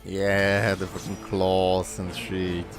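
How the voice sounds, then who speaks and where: A man's voice: sits low, completely flat delivery, takes it slowly, faint music behind. A man hosting a casual reaction video, rambling with lots of fillers, swearing and laughing as he talks.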